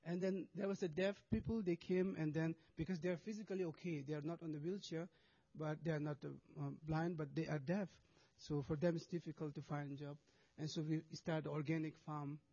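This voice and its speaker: A man gives a talk in hesitant English, restarting words and filling pauses. His voice sits a little high and sounds mellow. The recording is slightly dull and lo-fi. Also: fairly even intonation. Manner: halting, many restarts and fillers, non-native English. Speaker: monastic speaker presenting charity work. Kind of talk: presentation to an audience with video.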